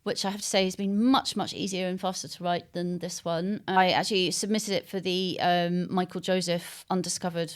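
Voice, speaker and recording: A woman being interviewed as a writer, speaking thoughtfully and haltingly in unscripted conversation as she searches for words. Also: on the low side, little pitch movement. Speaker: interviewed novelist.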